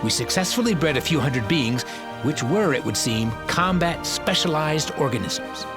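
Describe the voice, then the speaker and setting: An actor playing a smug, cowardly scientist with a formal, wordy manner in a video game cutscene. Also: male voice, a little high, expressive, moderate pace, lightly acted. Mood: smug explaining. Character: smug male scientist who bred aliens, cowardly under threat. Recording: loud music behind the voice. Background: music.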